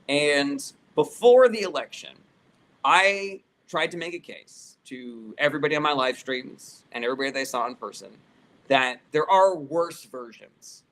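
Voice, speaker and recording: A man's voice: medium pitch, fairly bright tone, a little hiss or hum, low-quality recording. A man hosting a casual live political Q&A, reading comments aloud and answering them in a conversational, opinionated, argumentative way. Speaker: live stream host answering viewer questions.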